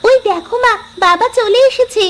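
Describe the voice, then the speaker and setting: A woman's voice: very high voice, normal speed, a bit bright. A woman voicing an eager, sweet little village girl in a cartoon fairy tale, with a childlike manner. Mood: excited.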